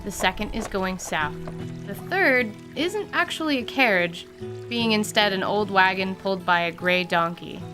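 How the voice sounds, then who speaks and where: Woman narrating over clear music. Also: medium pitch, lively intonation, moderate pace. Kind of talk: scripted radio-drama narration. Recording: clear music bed under voice.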